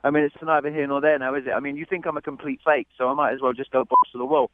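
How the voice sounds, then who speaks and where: A man's voice: medium pitch, fairly even intonation, rapid-fire, dry recording, narrow, tinny audio. A man from London with a London English accent, a caller on a radio show, speaking in exasperated, slangy British phrases and repeating himself. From London, England. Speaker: caller on a radio dating segment. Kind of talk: heated conversational phone-in.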